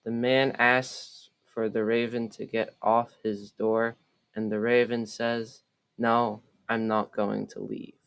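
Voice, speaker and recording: A man's voice: on the low side, level tone, slow pace, creaky, a bit bright, smooth, rough recording. A young man, a student, reading a scripted summary of a story aloud. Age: teens or young adult. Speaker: student reader.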